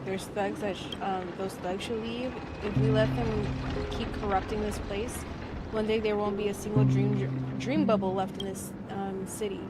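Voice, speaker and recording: A woman's voice: medium pitch, little pitch movement, normal speed. A woman streaming a game, chatting casually and reading text aloud in a playful, self-deprecating way with some swearing. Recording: loud game music behind the voice. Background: video game music.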